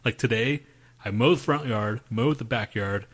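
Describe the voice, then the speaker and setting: A man's voice: mid-range voice, moderate pace, a bit bright. A man co-hosting a podcast, talking in a loose, profane, rambling way about his day. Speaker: podcast co-host. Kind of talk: crude casual chat.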